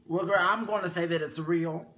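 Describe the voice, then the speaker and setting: A young man co-hosting a casual chat show, sassy and animated, his voice swinging up high, recorded in a small bedroom. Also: normal speed, a little hiss or hum. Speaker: co-host.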